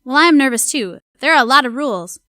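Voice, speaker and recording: A woman's voice: high-pitched, lively intonation, fast, bright, forceful tone, dry recording, studio quality. A woman playing a dutiful, nervous housemaid confiding in her journal in an audio drama, speaking earnestly. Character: dutiful, nervous young housemaid at a grand mansion.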